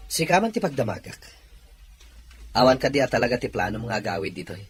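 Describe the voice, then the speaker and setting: An actor with an Ilocano accent playing a discontented husband longing for a child in a radio drama. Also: male voice, medium pitch, big pitch swings, quick pace, soft background music, natural voice. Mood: annoyed.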